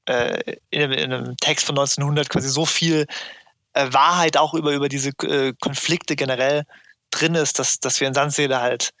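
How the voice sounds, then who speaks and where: A man at a mid pitch hosts a podcast, feeling his way forward with pauses and little fillers. The sound is close and dry, with no room echo. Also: fairly even intonation, sharp tone. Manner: hesitant, many fillers. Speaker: podcast host. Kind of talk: podcast commentary.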